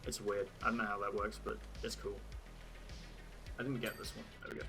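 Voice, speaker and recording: A man's voice: on the low side, flat delivery, brisk, music in the background. A young British man with an occasional Australian twang, hosting a livestream and chatting casually with viewers, thinking aloud with lots of "like"s. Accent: British, with occasional Australian words. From Britain. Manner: chatty, laughs at his own jokes, thinks aloud with many "like"s. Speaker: livestream host.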